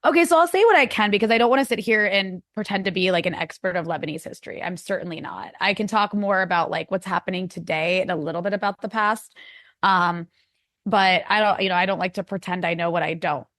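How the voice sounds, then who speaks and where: A woman's voice: mid-range voice, fast, a bit bright, no room sound, clean studio sound. A woman with an American accent, a guest in a casual interview, talking in a rambling way full of "like" and restarts. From United States. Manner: rambling, many likes and restarts.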